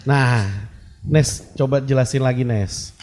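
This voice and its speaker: A man's voice: mid-range voice, slow, darkish tone. A man hosting a casual podcast chat, joking and bantering with his guests. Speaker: podcast host.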